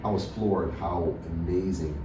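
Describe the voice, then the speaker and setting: A man from Russia speaks in a very deep voice, answering questions in a halting way with many "you know"s and restarts. He sounds set back from the mic in an echoey room. Steady background noise runs under his voice. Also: recorded from a distance. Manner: hesitant, many fillers and restarts. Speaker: dentist, interview guest. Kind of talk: casual interview answers.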